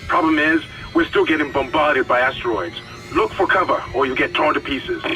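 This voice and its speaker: An actor playing a steady but urgent engineer guiding a comrade by radio in a video game, tense and instructive. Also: male voice, a little high, normal speed, noisy background, natural voice. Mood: warning.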